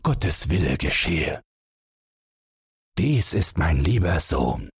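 A man voicing a gruff, stern old king and father, somewhat pompous, in a fairy-tale audiobook. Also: low-pitched, sing-song, takes it slowly, no room sound, clean studio sound, heavily acted. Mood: sad resignation.

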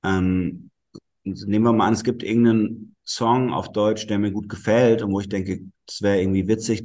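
A man's voice: deep voice, a little mellow. A bilingual man who grew up with German and Italian, a guest in a casual interview, explaining thoughtfully at length with many fillers. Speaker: musician and guest.

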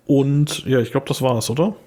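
A young man with a slightly hoarse voice, talking casually as a podcast co-host. He rambles, restarts his sentences and fills the gaps with "ähm". His pitch sits in the middle for a man. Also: normal speed, dark tone. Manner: rambling, many fillers and restarts. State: a little hoarse. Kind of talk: casual chat.

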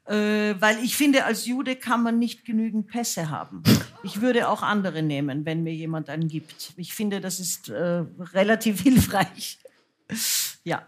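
A woman's voice: medium pitch, unhurried. A woman raised in the United States, a singer and author, talking frankly and wryly with many fillers as a guest on a stage before an audience. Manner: lively, frank, self-mocking, many fillers. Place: cultural centre stage with audience.

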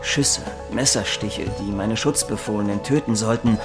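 An actor playing a stoic, tormented guardian who bears others' wounds in a fantasy audio drama. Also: male voice, fairly low pitch, moderate pace, music in the background, lightly acted. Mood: pained, grave.

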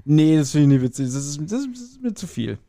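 A man's voice: fairly high pitch, sing-song, quick pace, dark tone, no room sound, clean studio sound. A man co-hosting a podcast in casual chat, with a joking, colloquial way of talking. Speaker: podcast co-host.

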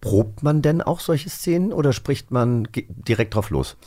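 A man's voice: medium pitch, lively intonation, unhurried, mellow. A man co-hosting a podcast, asking questions in a casual, conversational way. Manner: informal, conversational. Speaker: podcast co-host, interviewer. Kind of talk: casual interview chat.

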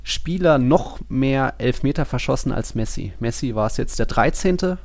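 A man's voice: medium pitch, expressive, normal speed. A man co-hosting a football podcast, chatting in a casual, laid-back, colloquial way.

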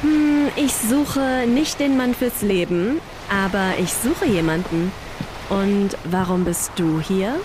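A woman's voice: mid-range voice, background noise, natural voice. A woman playing a self-assured, flirtatious woman in a science-fiction radio play, teasing and wry. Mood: probing. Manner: wry, unhurried. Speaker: voice actor in an audio drama.

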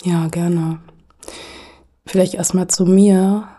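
A middle-aged woman speaking as a podcast guest, thoughtful and hesitant with frequent pauses and fillers. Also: lowish voice, fairly even intonation, dark tone, breathy edge. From Germany. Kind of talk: reflective conversational interview.

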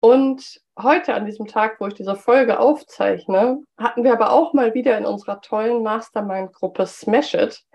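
A woman hosting a solo business podcast, slightly hoarse from a cold and chatty, with frequent filler sounds. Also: on the high side, moderate pace, hardly any pauses, darkish tone, lo-fi sound. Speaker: podcast host.

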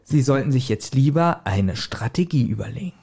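A man co-hosting a podcast, casually retelling a story in a jokey, animated way. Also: medium pitch, normal speed, creaky, husky. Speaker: podcast co-host. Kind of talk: casual chat retelling an audio drama episode.

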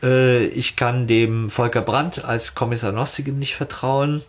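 Husky-edged man chatting casually. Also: medium pitch, fairly even intonation, a little slow. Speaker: podcast host. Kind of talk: casual chat.

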